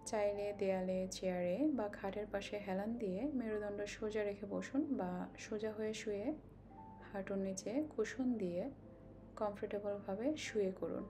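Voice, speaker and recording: A woman guides a meditation slowly and calmly, giving instructions with long pauses. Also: mid-range voice, soft background music. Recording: clearly noisy, ambient sound behind voice.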